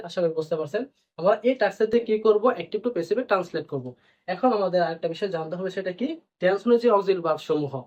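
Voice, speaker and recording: A man's voice: high voice, a little mellow. A man teaching a grammar lesson, repeating points and answering his own questions as he explains. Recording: dull, lo-fi band-limited sound.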